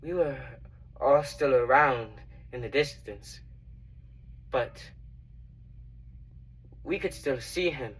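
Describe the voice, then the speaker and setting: A young man playing a reverent, earnest disciple who recounts his memories in a halting, reflective dramatic monologue. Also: medium pitch, a bit bright, husky, far from the mic, rough recording. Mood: grave, sorrowful. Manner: halting, reflective, with long pauses.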